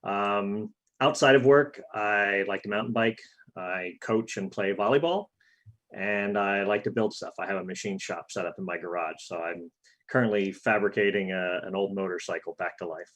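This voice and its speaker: Low-voiced man, matter-of-fact. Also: moderate pace, a bit bright. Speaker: machine specialist. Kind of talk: casual self-introduction.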